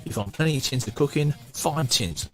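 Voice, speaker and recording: A man voicing an energetic, cheerful ageing working-class English vagrant in an audiobook, speaking in folksy colloquial phrases. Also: medium pitch, fairly quick, pitched above the performer's own voice, close to an everyday voice. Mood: cheery, advising. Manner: folksy, colloquial advice-giving with dropped grammar. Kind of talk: audiobook character voice.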